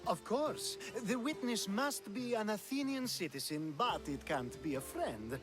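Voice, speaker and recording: A man's voice: sits high, lively intonation, unhurried, music in the background. An actor playing a sly, charming ancient Greek nobleman in a video game, teasing and theatrical. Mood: playful, persuasive. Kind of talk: video game cutscene acting.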